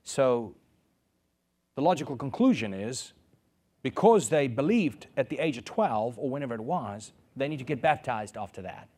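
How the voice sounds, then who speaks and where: A man preaches a sermon in English, earnest and direct. He leans on repetition, saying key phrases twice to press his point home. His voice sits at an ordinary middle pitch for a man. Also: expressive, moderate pace, a little mellow. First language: Afrikaans. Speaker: pastor.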